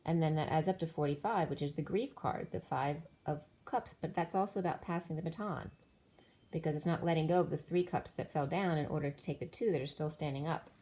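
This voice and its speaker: A woman's voice: deep voice, brisk, dark tone. A woman giving a solo spiritual tarot reading, explaining cards and numbers one by one while losing her voice. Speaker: tarot reader.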